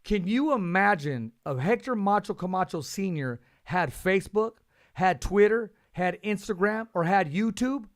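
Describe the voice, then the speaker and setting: A male host runs a boxing interview show, full of hype and quick restarts as he gets excited. His voice sits a bit high for a man. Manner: enthusiastic, hype-driven, stumbles on restarts. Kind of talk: casual interview chat.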